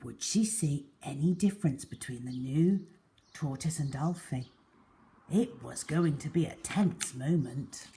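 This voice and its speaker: A woman reading a children's story aloud as a storyteller, deliberate and dramatic in her delivery. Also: low voice, warm tone, slight background noise.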